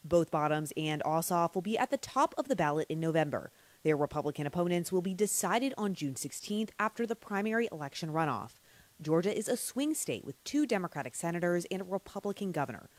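An American woman reports the news from a script, her fairly low voice moving in lively swings of pitch. The sound is dry and close. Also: fairly quick, no room sound.

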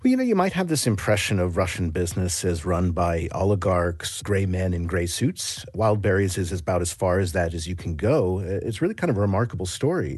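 A man's voice: deep voice, darkish tone, slightly rough, no echo, studio quality. A man with an American accent giving an explanatory radio news report as a foreign correspondent, in a conversational way.

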